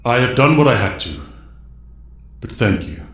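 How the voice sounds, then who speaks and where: A man playing a weary, solemn alien mentor in an audio drama, speaking gravely with an echo on his voice. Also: mid-range voice, animated, moderate pace, a bit bright, lightly acted. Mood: solemn, respectful. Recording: echoing, reverberant voice.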